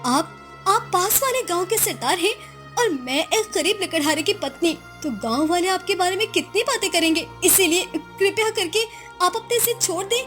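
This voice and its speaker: A woman's voice: very high-pitched, expressive, normal speed, fairly bright tone, soft background music. A woman voicing a humble young wife of a poor woodcutter in a cartoon story, hesitant and imploring. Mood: tearful pleading. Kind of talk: Hindi moral-story cartoon dialogue.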